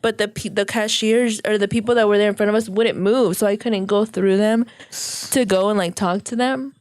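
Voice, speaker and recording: A woman's voice: medium pitch, steady tone, moderate pace, darkish tone, no room sound. Woman co-host, 30s, blunt swearing storyteller, dry close recording. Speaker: podcast co-host. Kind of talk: casual podcast chat.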